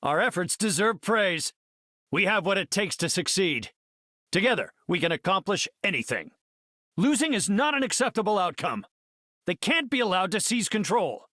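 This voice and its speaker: An actor playing a brainy, confident scientist hero in a video game, speaking in an articulate, measured and slightly formal way. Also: male voice, high voice, very animated, bright, forceful tone, no echo, clean studio sound, heavily acted. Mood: determined, confident. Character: brainy, confident, earnest male scientist superhero leader.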